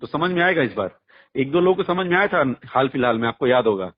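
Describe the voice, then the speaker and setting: A man's voice: mid-range voice, very animated, quick pace, breathy edge. A man talking bluntly and emphatically in a heated phone conversation, arguing his case with rhetorical questions. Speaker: music artist's manager being interviewed. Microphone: phone call. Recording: muffled lo-fi phone call recording.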